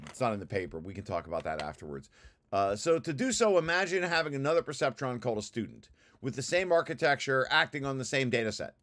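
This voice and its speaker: A man giving an informal technical walkthrough as a presenter, with halting, hesitant explanations and repeated words. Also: mid-range voice, a bit bright. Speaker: presenter reviewing own technical paper.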